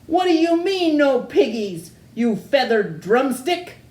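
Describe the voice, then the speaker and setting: A woman's voice: sits high, big pitch swings, slow, darkish tone, echoey room, pitched above the performer's own voice, exaggerated voice. A woman doing a screeching grouchy witch. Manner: screeching, demanding. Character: grouchy hungry old witch, shrill and bossy.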